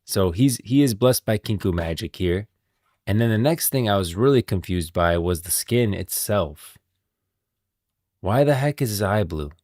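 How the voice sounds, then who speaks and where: A man's voice: deep voice, no echo, clean studio sound. A man hosting a casual livestream about game lore, talking informally and directly to his viewers. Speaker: livestream host.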